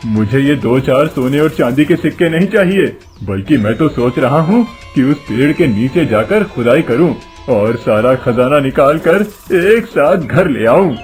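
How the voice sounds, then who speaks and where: An actor playing a greedy, excitable woodcutter in a cartoon story, talking loudly and scheming. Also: male voice, medium pitch, a little slow, few pauses, faint music behind, natural voice. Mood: greedy excited.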